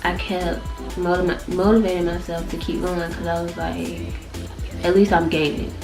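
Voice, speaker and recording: Young woman, low pitch, nasal and congested, rambling casual vlog chat, music behind. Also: level tone, moderate pace. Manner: chatty, rambling, informal. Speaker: vlogger.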